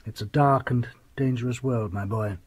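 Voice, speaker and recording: An actor playing a grave uncle in a radio ghost story, husky and portentous. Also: male voice, medium pitch, normal speed, darkish tone, no echo, lightly acted. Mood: grave, ominous.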